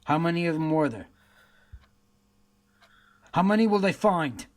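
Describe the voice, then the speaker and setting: A man playing a steady police detective in a radio play, firm and questioning. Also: a little high, unhurried. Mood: probing.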